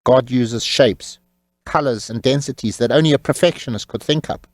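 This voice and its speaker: A man's voice: mid-range voice, moderate pace, dry recording. A man narrating an audiobook as its author, in a wry, tongue-in-cheek manner.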